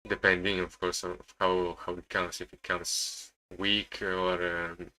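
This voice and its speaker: A low-voiced man with a strong, bright edge to his sound and an Italian accent. He talks casually as a live streamer, in a very flat melody, stopping and repeating words as he searches for the next one. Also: measured pace. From Italy. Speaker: day trading live streamer. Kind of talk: casual live market commentary.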